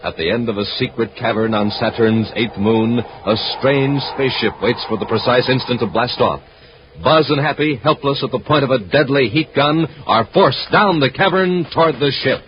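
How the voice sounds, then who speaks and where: A man's voice: medium pitch, moderate pace. A man announcing and narrating a 1950s radio adventure serial in a dramatic style. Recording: noisy, muffled vintage radio recording.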